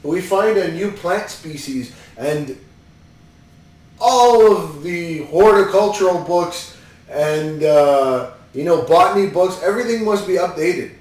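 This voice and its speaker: A man's voice: high-pitched, expressive, takes it slowly, a little mellow. A man rambles through casual, incredulous movie commentary on his podcast. His voice comes from some distance off the mic. It rings in a very echoey room. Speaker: podcast host reviewing a movie.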